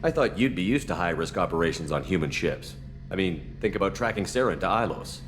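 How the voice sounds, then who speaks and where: Loyal military leader, game cutscene. Low register, controlled, persuasive, slight background noise. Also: male voice, brisk. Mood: frank, easy. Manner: steady, controlled, reasoning. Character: calm, firm human military commander, persuasive and loyal. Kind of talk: video game dialogue acting.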